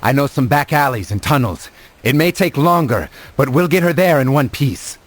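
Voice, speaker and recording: An actor playing a humble, earnest resistance foot soldier in a medieval video game. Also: male voice, mid-range voice, animated, normal speed, lightly acted. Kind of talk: scripted video game voice acting.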